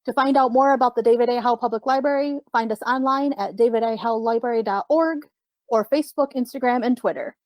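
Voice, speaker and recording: A woman's voice: on the high side, fairly quick, no room sound, muffled recording. A woman reading a scripted closing announcement as the announcer of an audio production.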